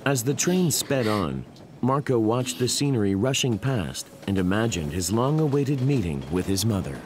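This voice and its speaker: A man's voice: on the low side, lively intonation, normal speed, noisy background. A man narrating a scripted children's story. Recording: prominent music behind the voice.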